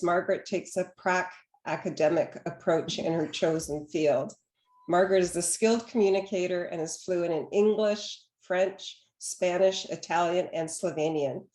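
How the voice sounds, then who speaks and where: Woman host on a video call, slightly low voice, hesitant delivery, roomy echo. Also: a little mellow. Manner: hesitant, many fillers and restarts.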